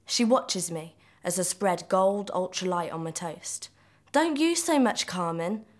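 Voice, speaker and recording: A woman reads from a novel in the first person. Her voice sits a little low, and she delivers the prose as a scripted storytelling reading. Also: lively intonation, measured pace. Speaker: reader of a novel extract.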